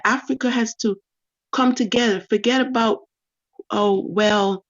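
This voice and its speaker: Woman, impassioned speaker, rousing repeated calls, medium pitch, lo-fi streamed audio. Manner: rousing, repetitive calls to unite. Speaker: guest speaker. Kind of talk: impassioned speech.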